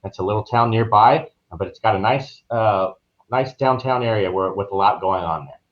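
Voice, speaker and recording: A man's voice: lowish voice, moderate pace. A man speaking as an interviewed travel advisor, explaining things in a casual, informal, loosely phrased way. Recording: dull, muffled lo-fi audio.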